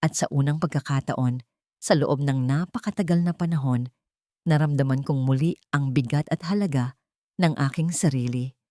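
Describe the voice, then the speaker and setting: A woman's voice: extremely low pitch, normal speed, warm tone, no room sound, studio quality. A woman reading a dramatic first-person story as a narrator, in a solemn, emotive storytelling manner.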